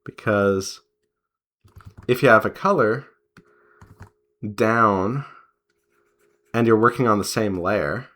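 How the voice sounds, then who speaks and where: A man chatting casually as he draws, rambling in an unhurried way and pausing mid-sentence. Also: on the low side, a bit bright. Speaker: artist and devlog host. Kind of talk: casual chat while drawing.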